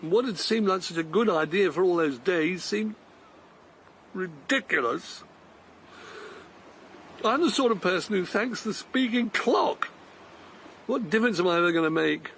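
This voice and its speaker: Humble old man, hesitant and tender, high lively voice with a gravelly edge, faint hiss behind. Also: measured pace, long pauses, exaggerated voice. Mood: wry. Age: older. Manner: hesitant, tender, self-deprecating. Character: gentle elderly man, humble and bewildered. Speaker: radio drama actor. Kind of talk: BBC radio drama acting.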